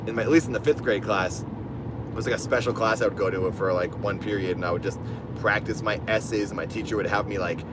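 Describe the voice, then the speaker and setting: A man's voice: fairly low pitch, fairly even intonation, fairly quick. A man with a lisp chatting casually on a livestream from his car while driving, rambling and reading out donations. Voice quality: lisp on S sounds. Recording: car road noise behind.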